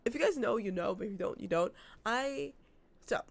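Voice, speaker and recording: A young woman vlogging from her apartment, losing her voice and hoarse, rambling casually with lots of fillers. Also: medium pitch, animated, normal speed. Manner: rambling, filler-heavy, self-described yappy. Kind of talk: casual unscripted vlog chat.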